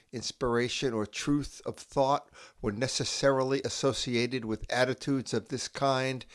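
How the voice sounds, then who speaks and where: A man reading a philosophical essay aloud in a measured, deliberate way, with long pauses between phrases. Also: lowish voice. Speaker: reader and host. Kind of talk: slow solemn reading of an essay.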